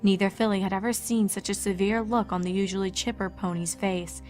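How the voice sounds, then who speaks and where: A woman reading scripted fan fiction as the narrator, in a measured storytelling manner. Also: medium pitch, fairly even intonation, a little mellow. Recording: faint music under narration.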